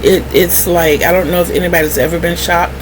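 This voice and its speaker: A middle-aged woman telling personal stories candidly to viewers in a wry, animated manner, acting out remembered conversations. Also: fairly low pitch, a little fast. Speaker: vlogger. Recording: clearly noisy background hum.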